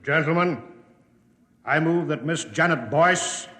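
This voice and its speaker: An actor playing a pompous, hectoring American senator, oratorical and insistent, in a 1950s radio drama. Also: male voice, on the high side, slow, noisy background. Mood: grandstanding. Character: pompous, hectoring male US senator leading an inquiry. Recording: echoing hall, old radio broadcast.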